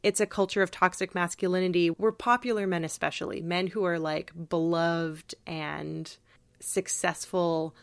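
A woman's voice: on the low side, fairly even intonation, normal speed, creaky, clear, resonant tone, no room sound. A woman co-hosting a podcast in casual analytical discussion, emphatic and reflective, sprinkling in "like" as she thinks aloud. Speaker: podcast co-host.

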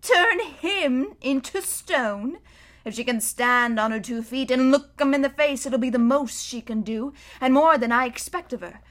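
Lady doing a grave old-fashioned beaver guy, folksy and gloomy, pretty high and loud for a beaver. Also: steady tone, normal speed, hardly any pauses, close to an everyday voice. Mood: hearty. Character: gruff, gloomy, earnest male beaver.

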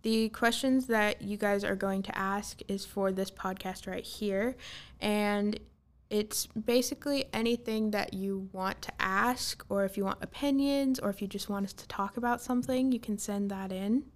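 A woman chats casually as co-host of an advice podcast. Her voice is middling in pitch and her style is conversational. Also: fairly even intonation, moderate pace.

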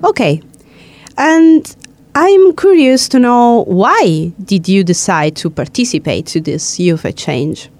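Woman host, chatty and teasing, mid-pitched voice. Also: animated, slow, a little mellow, dry recording. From Italy. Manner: chatty, playful, laughs and teases guests. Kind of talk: casual radio-style interview.

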